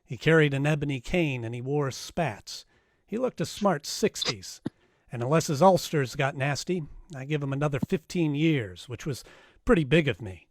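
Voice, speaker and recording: An actor playing a wry, hardboiled private detective in a noir radio drama, casual, clipped and wisecracking. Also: male voice, fairly high pitch, expressive, moderate pace, dry recording, clean studio sound. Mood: wry narration.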